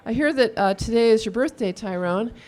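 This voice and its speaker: A woman's voice: fairly high pitch, expressive, fairly quick, a little mellow. A woman presenting at a live event, casually introducing a speaker from the stage through a microphone.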